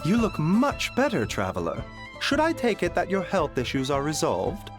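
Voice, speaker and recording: A man playing a gentle, refined pharmacist in an anime-style game, speaking politely and formally in measured phrases. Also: on the high side, wildly swooping pitch, over music. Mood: courteous, calm. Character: courteous, gentle, refined male pharmacist and doctor.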